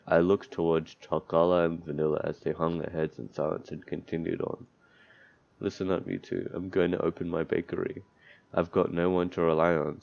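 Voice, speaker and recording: A man's voice: low-pitched, creaky, darkish tone. A man reading visual novel text aloud as a let's player, stumbling over words and breaking off into offhand asides. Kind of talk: gameplay commentary, reading game text aloud. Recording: dull lo-fi mic, background music. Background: game music.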